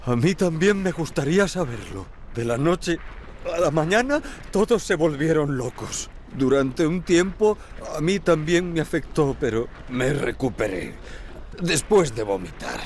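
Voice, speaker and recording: Game dub: jittery oddball peasant, highish voice swinging about, drawn-out rambling, slightly noisy backdrop. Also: male voice, big pitch swings, darkish tone. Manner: slow, nervous, rambling, swooping. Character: timid, addled villager hiding under a basket. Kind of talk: dubbed video game character acting.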